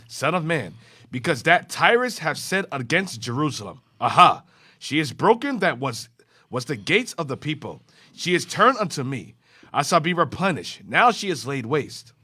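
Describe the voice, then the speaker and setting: Bright lively man reading scripture aloud. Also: on the high side, breathy edge. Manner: measured formal reading. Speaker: scripture reader.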